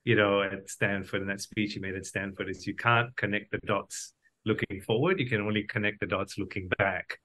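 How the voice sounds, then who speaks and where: Middle-aged male guest from Malaysia, talking reflectively in a bright, forceful, somewhat low voice. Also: fairly even intonation, moderate pace. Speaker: podcast guest, doctor turned entrepreneur. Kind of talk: conversational interview answers.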